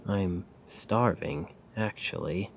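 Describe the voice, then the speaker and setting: A man playing a weary, self-doubting failed poet in a soft-spoken, rambling ASMR roleplay monologue. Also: low voice, vocal fry, slight background noise, exaggerated voice. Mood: irritated, weary. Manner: slow, brooding, rambling. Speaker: roleplay audio performer.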